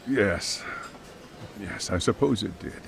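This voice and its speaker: A middle-aged school chairman in a game drama. His low voice is thick with creak and moves through a wide range. He speaks politely and cautiously, like an official under a burden. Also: animated, faint music behind, exaggerated voice. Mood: uneasy, hesitant. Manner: measured, polite, hesitant. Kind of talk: English dub of a video game cutscene.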